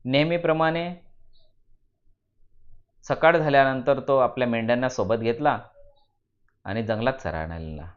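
A man narrating a moral story for students in deliberate phrases with dramatic emphasis. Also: medium pitch, highs cut off.

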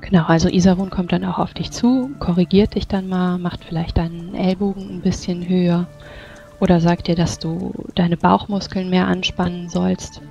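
Mellow, creaky-voiced woman game master. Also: fairly low pitch, little pitch movement, normal speed. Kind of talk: narrating a tabletop roleplaying game. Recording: slightly dull, music behind. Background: soft music.

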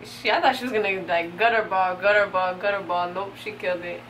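A woman's voice: medium pitch, steady tone, brisk. A young woman chatting casually on a vlog, laid-back and teasing, laughing often, recorded on a handheld camera. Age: young adult. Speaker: vlogger. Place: bowling alley, then car and bedroom. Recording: loud noisy crowded bowling alley.

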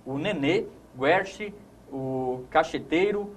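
A man's voice: a little high, lively intonation, slow. A man in his thirties with a Brazilian accent, hosting a conversational sports talk show in a studio. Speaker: host.

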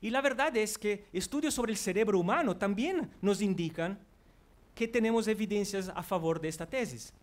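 A man's voice: high-pitched, moderate pace. A man in his forties from Brazil, a native Portuguese speaker with a Brazilian accent, giving an earnest psychology lecture to a large auditorium on a headset mic. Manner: earnest, walks the stage while talking. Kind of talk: public lecture. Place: large auditorium before an audience.

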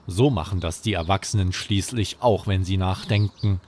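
A man's voice: low voice, normal speed, a little mellow, slight background noise. A man narrating a scripted bedtime story for children as the host.

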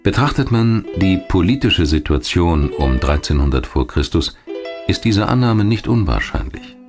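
A man reading scripted documentary narration in a measured, clearly articulated storytelling manner. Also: deep voice, sing-song. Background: music behind the voice.

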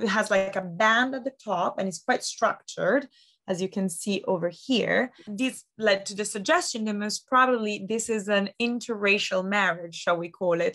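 A woman's voice: medium pitch, a bit bright. A woman in her thirties, an auction house specialist, talking with enthusiasm and many fillers on a video call from a study at home. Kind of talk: expert explaining art in a video call interview. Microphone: video call webcam.

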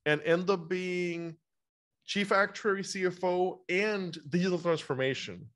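A man's voice: high-pitched, a little slow, a bit bright. A man in his thirties from Costa Rica hosting a casual interview over a video call. He is chatty and often repeats words as he starts his sentences. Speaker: podcast host. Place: bright home room. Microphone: video call with over-ear headphones.

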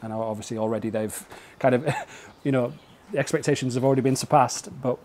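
A man's voice: mid-range voice, steady tone, fast talker, darkish tone, a little hiss or hum. A man, chatting casually as a podcast host. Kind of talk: casual interview chat. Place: small log cabin. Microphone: close podcast mic on boom arm.

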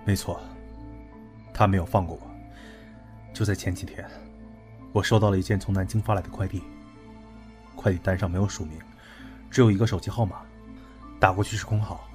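An actor playing a grave, commanding young man, an elder friend, solemn and measured, in an audio drama. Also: deep voice, fairly even intonation, a little mellow, faint music behind, natural voice. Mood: grave, confiding. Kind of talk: Chinese audio drama acting.

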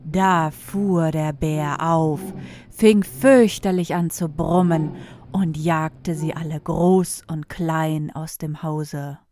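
Slow, low, mellow woman storyteller. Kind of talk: scripted fairy-tale narration.

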